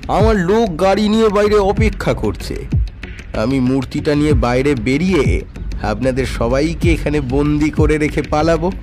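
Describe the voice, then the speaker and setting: A man's voice: fairly high pitch, natural voice. A man playing a scheming, threatening villain in an audio detective story, drawing out his words. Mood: defiant, menacing. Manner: slow, drawn-out, threatening delivery. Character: menacing male villain, scheming hotel manager with a pistol. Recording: loud music bed under the voice.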